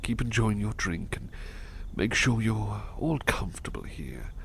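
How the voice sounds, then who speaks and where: A man playing a gentle, devoted butler in an intimate ASMR roleplay, speaking politely and tenderly with a hesitant stammer. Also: on the low side, a little slow, a little hiss or hum. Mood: tender, whispery. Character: gentle, devoted, flustered male butler, tired and affectionate.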